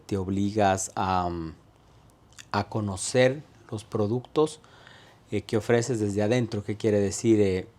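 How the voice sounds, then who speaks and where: Low-voiced Ecuadorian man, halting and reflective. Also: warm tone. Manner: hesitant, many "eh" fillers and restarts. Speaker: guest, restaurant owner. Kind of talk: conversational interview.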